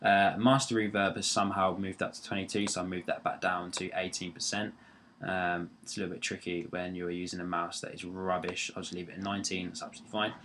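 A young man with a deep voice, talking through a screen-recorded tutorial in a rambling, friendly way, with lots of fillers and restarts, recorded on a laptop's built-in microphone in his bedroom. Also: level tone, quick pace, a bit bright, a little hiss or hum. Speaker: tutorial maker. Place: bedroom near a busy road.

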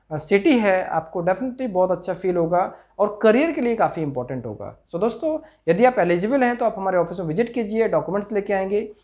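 A man's voice: high voice, a little mellow. A man in his forties with an Indian accent giving an informational promotional talk to camera, explaining step by step and mixing in many English terms. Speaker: overseas recruitment consultant. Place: small office. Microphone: clip-on lapel mic.

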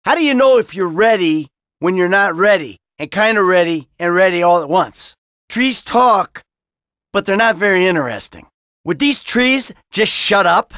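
A middle-aged American career criminal from a video game, jaded and quick to lose his temper. His voice has a gravelly, forceful edge and sits in a very dry recording. He sounds sardonic, foul-mouthed and exasperated. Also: sits high, dry recording, heavily acted. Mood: dazed, wry.

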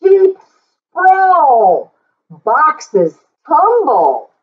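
Very slow, expressive woman reading to children. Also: high voice, phone-quality sound. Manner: expressive, deliberate, with drawn-out words and explanatory asides. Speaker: children's story reader and teacher. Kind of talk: read-aloud picture book storytelling for children.

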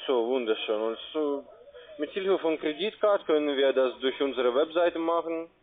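A man who is not a native German speaker, working as a call-centre agent, giving a halting, repetitive, persuasive sales pitch. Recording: tinny, band-limited phone line.

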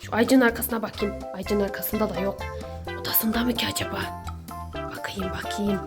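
Woman playing a sneaky hungry neighbor villain, loud music. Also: medium pitch, fairly even intonation, natural voice. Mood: puzzled, searching. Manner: theatrical muttering to self, drawn-out complaints. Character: sneaky, hungry Hello Neighbor villain, seeker and snack thief. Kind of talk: children's pretend-play skit.

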